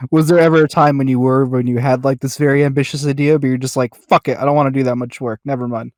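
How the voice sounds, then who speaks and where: Podcast voice for informal chat, mid pitch, dry close recording, slightly halting. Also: steady tone, a little mellow, no room sound. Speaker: podcast speaker asking questions.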